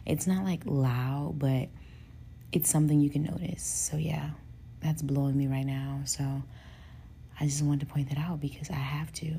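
A young woman hosting her podcast from a hotel room, hoarse with a soft raspy voice, chatting casually and laughing now and then. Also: low voice, moderate pace, a little hiss or hum. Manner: relaxed and chatty, laughs often, slangy asides. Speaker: podcast host. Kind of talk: casual solo podcast chat.

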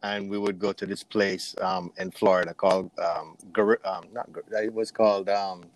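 A man's voice: sits low, level tone, normal speed, low-quality recording. A man from Jamaica chatting casually as a podcast guest, telling personal anecdotes in an easygoing way.

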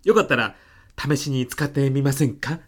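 A man's voice: mid-range voice, normal speed, background noise, natural voice. A man playing an earnest, easily flustered middle-aged salesman in a comedic radio drama. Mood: polite, earnest. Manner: polite, hesitant, protesting.

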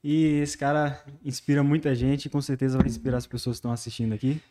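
A man co-hosting a podcast who makes quick, offhand remarks. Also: mid-range voice, flat delivery, warm tone. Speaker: podcast co-host.

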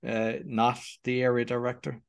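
A man's voice: medium pitch, completely flat delivery, moderate pace, gravelly, clear, resonant tone. A man hosting a podcast, talking casually and encouragingly with his guest. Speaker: podcast host. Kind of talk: casual interview conversation.

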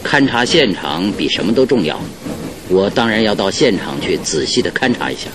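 A low-voiced, animated detective. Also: male voice. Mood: assured. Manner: deliberate, theatrical explaining. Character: confident male detective reasoning out a case, calm and authoritative. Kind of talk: Mandarin radio drama acting. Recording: noisy old radio drama, train rumble behind.

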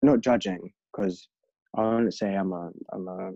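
A man's voice: low voice, fairly even intonation, a little slow, gravelly, warm tone, no echo. A young man from London with an English accent, a podcast guest reflecting earnestly in casual conversation. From London, England. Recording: dull lo-fi dry recording.

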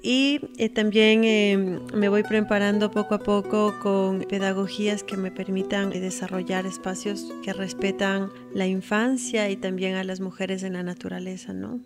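A woman in her thirties with a Quito accent, an outdoor guide speaking in an unhurried, reflective way and pausing on fillers. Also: medium pitch, flat delivery, music in the background. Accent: Ecuadorian Spanish, Quito. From Ecuador. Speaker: outdoor guide and tour operator founder. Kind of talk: personal self-introduction.

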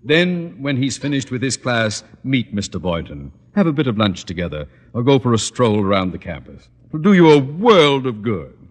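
An actor playing a pompous, blustering older school principal with florid, theatrical delivery in an old-time radio comedy. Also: male voice, mid-range voice, sing-song, normal speed, hardly any pauses. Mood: coaxing. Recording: old radio broadcast, reverberant hall.

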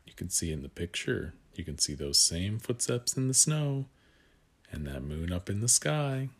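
A man reading a picture book aloud to young children, with gentle asides that guide the listener. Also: fairly low pitch, big pitch swings, moderate pace, breathy edge.

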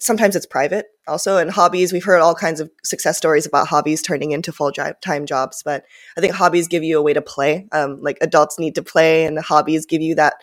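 A woman in her twenties, born and raised in Japan with Japanese as her first language, answering interview questions in a rambling, casual way with many fillers. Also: deep voice. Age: 20s. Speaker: guest, startup founder.

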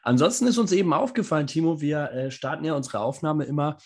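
A man co-hosting a podcast from his home kitchen, hoarse and scratchy from a cold, chatting casually with dry, teasing humor. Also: on the high side, quick pace. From Northern Germany. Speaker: podcast co-host. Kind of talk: casual chat. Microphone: home mic in a kitchen.

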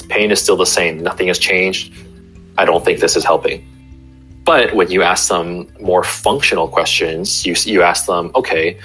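Bright-voiced, low-pitched man explaining chiropractic. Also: husky, rough recording. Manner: explains with everyday examples, many fillers and restarts. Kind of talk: casual interview talk.

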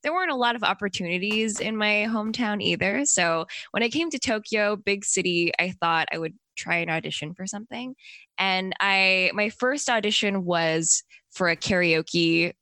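A woman's voice: mid-range voice, normal speed, bright, forceful tone, no room sound. A young woman from Canada answering interview questions in a chatty, upbeat way with plenty of likes and ums. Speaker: interview guest.

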